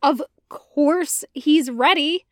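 A woman's voice: high voice, extremely slow, dry recording, studio quality. A woman voicing an exasperated, impulsive young man in an audio story, whiny and emphatic. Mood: exasperated, insistent.

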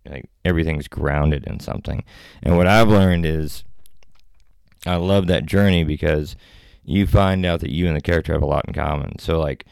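Deep, gravelly male guest with a laid-back, rambling delivery on a close, dry podcast mic. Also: normal speed, darkish tone, no room sound. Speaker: actor and filmmaker guest. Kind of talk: casual podcast chat.

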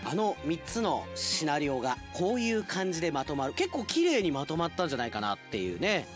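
A man's voice: on the high side, wildly swooping pitch, brisk, over music. A man hosting a radio show, chatting casually and playfully like an announcer, with conversational asides.

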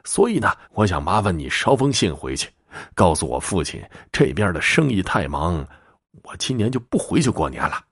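A man as low-voiced, folksy merchant Mr. Fan. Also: very animated, moderate pace, fairly bright tone, breathy edge, no echo, studio quality, heavily acted. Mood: confiding. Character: friendly merchant Mr. Fan, confiding fellow townsman giving directions.

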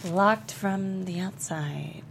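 A woman acting a well-meaning, careless young woman in a casual, expressive script read. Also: lowish voice, extremely slow, creaky, slight background noise, exaggerated voice. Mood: confused.

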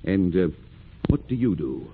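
An actor playing an imperious, menacing British naval captain in an old radio drama, stern and interrogating. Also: male voice, low voice, very animated, measured pace. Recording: tinny, hissy old radio recording.

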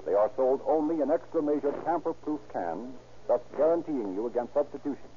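A man's voice: medium pitch, animated, brisk. A man with an American accent delivering scripted 1930s radio announcing and narration. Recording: tinny, noisy vintage radio broadcast.

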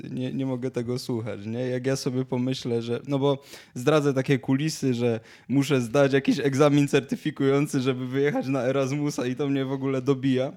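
A young man with a mid-pitched voice talks casually as a podcast co-host. He is halting, doubling back on words and restarting his sentences as he tells stories. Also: dark tone. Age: 20s. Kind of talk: casual chat, anecdotes.

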